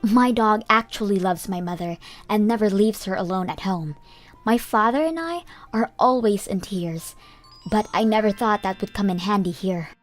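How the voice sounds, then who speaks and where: A woman's voice: mid-range voice, normal speed, faint music behind. A woman reading a scripted first-person story as the narrator of a comic dub.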